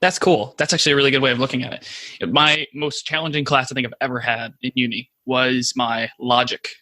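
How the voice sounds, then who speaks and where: A man hosting a podcast, interviewing his guest with quick, encouraging affirmations. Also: medium pitch, level tone, bright, forceful tone, dry recording. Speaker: podcast host.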